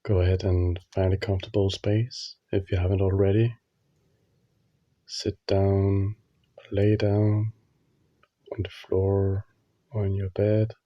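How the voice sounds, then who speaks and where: A man guides a meditation in a calm, soothing manner. His voice is lowish and very even, with little melodic movement, and he leaves long pauses between phrases. The recording is dull and slightly muffled. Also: no echo.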